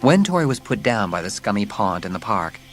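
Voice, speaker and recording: A man narrates a dramatised storybook in a lowish voice. His reading is scripted and dramatic, with music clearly behind him. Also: sing-song, brisk.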